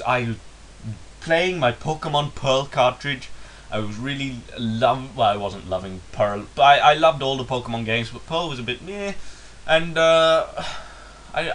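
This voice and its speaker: A man's voice: mid-range voice, a bit bright, a little hiss or hum. A young English man talking in a put-on Indian English accent, rambling and self-mocking in a casual vlog monologue. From England. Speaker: YouTuber.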